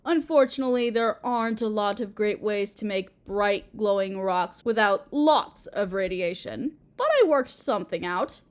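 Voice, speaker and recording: A woman playing a doting, possessive Mother Earth goddess in a roleplay audio drama, sweet and cooing as if to a small child, with gentle menace. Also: medium pitch, moderate pace, hardly any pauses, close to an everyday voice. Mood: delighted doting.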